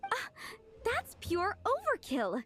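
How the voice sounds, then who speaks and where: A high, animated voice of a proud young woman cook in a video game. She sounds self-assured and blunt, with faint music underneath. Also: takes it slowly, exaggerated voice. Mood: argumentative. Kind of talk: English video game dialogue.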